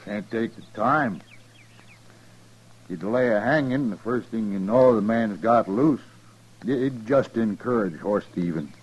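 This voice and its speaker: An actor playing a matter-of-fact, grimly neighborly American rancher leading a lynching in a radio western, speaking in an unhurried folksy rural way. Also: male voice, on the low side, expressive, breathy edge. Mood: grimly calm. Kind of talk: 1950s radio western acting.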